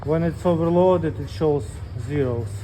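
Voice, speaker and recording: Male technician for how-to demo, slow plain delivery, relaxed timbre, slight background noise. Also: a little high, poor audio. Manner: plain step-by-step explaining. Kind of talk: hands-on instructional demonstration.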